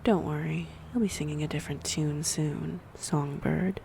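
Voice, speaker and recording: A woman playing a snide, predatory vampire in an audio roleplay, mocking and teasing, with a breathy voice that slips into whispers. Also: sits low, steady tone, moderate pace, mellow, slight background noise. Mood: scornful, mocking. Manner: mocking, teasing, theatrically menacing. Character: snide, predatory female vampire toying with prey. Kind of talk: audio roleplay acting addressed to the listener.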